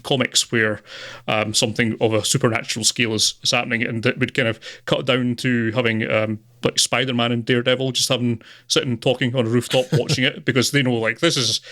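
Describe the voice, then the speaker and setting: A man co-hosting a film podcast, chatting casually in a hesitant, measured way. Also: medium pitch, flat delivery, sharp tone. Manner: hesitant, measured, laughs often. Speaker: podcast co-host. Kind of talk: casual film review chat.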